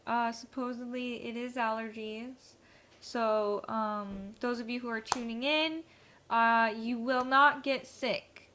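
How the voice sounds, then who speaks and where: A woman's voice: a little high, fairly even intonation, slow pace, sharp tone, slight background noise. A woman co-hosting a casual vlogcast, rambling hesitantly with many fillers while stuffy from allergies. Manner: hesitant, many ums and likes. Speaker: vlogcast co-host.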